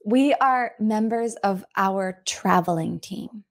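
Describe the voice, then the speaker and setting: A woman's voice: mid-range voice, vocal fry. A woman playing her character in a tabletop game, hesitant and playful. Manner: slow, hesitant and playful, often laughing. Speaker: player.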